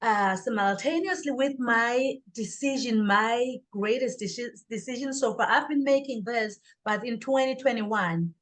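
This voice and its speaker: A woman's voice: on the high side, measured pace, few pauses. A middle-aged woman with a southern African accent speaks conversationally as an interview guest, telling personal stories emphatically. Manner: emphatic, acts out her inner dialogue.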